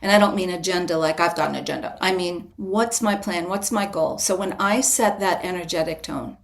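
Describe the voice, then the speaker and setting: A woman guest on a podcast interview, a writer and retreat leader, explaining her approach in a calm, invitational, measured way with frequent restarts. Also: mid-range voice. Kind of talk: reflective interview answer.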